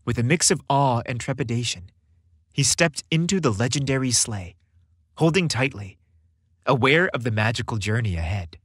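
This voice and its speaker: A man narrating a scripted podcast story in an expressive, audiobook-like way. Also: medium pitch, moderate pace, breathy edge, no room sound, clean studio sound.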